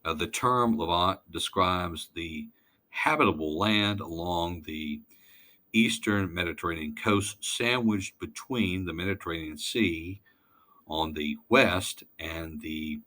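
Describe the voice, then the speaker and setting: Very deep-voiced, unhurried male lecturer. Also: bright, forceful tone. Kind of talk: informal slide lecture.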